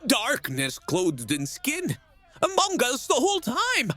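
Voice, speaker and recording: A man's voice: extremely high pitch, huge pitch swings, slow pace, bright, forceful tone, soft background music, exaggerated voice. A man acting a half-mad, weeping condemned townsman in an audio drama, sobbing and pleading in broken phrases. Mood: sobbing, desperate.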